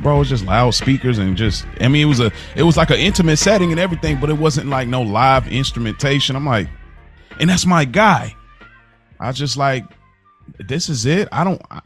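An American man co-hosting a podcast in casual conversation, telling things in an animated, slangy way. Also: mid-range voice. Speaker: podcast co-host.

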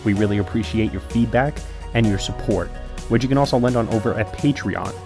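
Lowish male podcast host reading an outro. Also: brisk, music in the background. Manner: upbeat, practiced announcer delivery.

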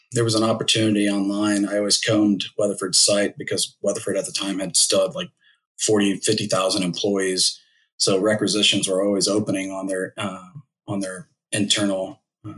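Thirties male guest, low and even-toned, thoughtful and unhurried. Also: rough recording. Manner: measured, thoughtful, with many fillers. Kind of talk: casual interview.

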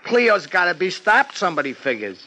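A man's voice: high voice, big pitch swings, normal speed, pushed and bright, full character voice, poor audio. An actor playing an anxious, streetwise city florist in a 1950s radio detective drama, talking in slangy, ungrammatical tough-guy style. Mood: worried, proud.

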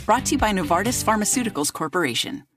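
A woman's voice: medium pitch, expressive, fast. A woman reading a scripted pharmaceutical radio commercial as a voice-over. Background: music bed.

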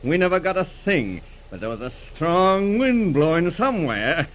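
A pushy American newsman from an old radio play. His highish voice swoops about as he cajoles and stammers, chummy and eager. The recording is old and faintly noisy. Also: very animated, moderate pace, a bit bright. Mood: chummy, probing. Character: brash, eager American newspaper reporter, wheedling and chummy. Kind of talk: 1940s radio drama acting.

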